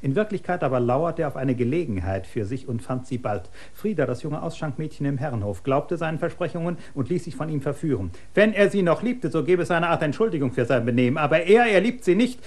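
A man's voice: on the high side, close to an everyday voice. Guy doing a stiff officious village secretary, fussy and formal, radio play. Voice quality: formal, bureaucratic, insistent. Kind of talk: radio drama acting.

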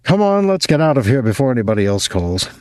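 A man's voice: medium pitch, very animated, fast, a little mellow, clean tone, no room sound, clean studio sound, close to an everyday voice. A man playing a curt, impatient young man. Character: impatient, dry young businessman.

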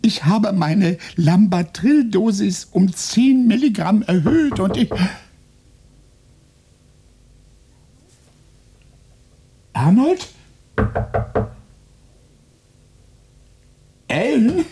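A terrified neurotic who stammers and trips over his words. His voice is dark and very breathy, drawn out slowly, and it keeps rising and falling as fear takes hold. It comes from an old radio play recorded on tape. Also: sits high, lively intonation, exaggerated voice. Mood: panicked. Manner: stammering, nervous. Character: Jerry, a frightened, neurotic man who stammers in panic. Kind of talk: 1990s radio play acting.

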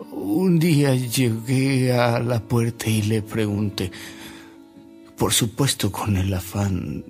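An actor playing a man who recounts anecdotes in a radio drama, with an unhurried, theatrical delivery. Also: lowish voice, creaky, breathy edge, exaggerated voice. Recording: music bed under the voice.

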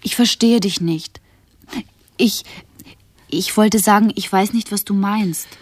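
A woman's voice: mid-range voice, takes it slowly. An actress playing a puzzled woman who questions insistently and naively in a radio play.

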